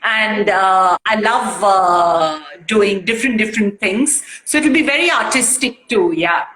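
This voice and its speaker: A woman's voice: mid-range voice, a little slow, fairly bright tone, reverberant, rough recording. A woman guest chatting in an interview, emotional and bubbly, with lots of fillers. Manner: emotional and bubbly, laughs often, many fillers. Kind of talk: casual interview chat.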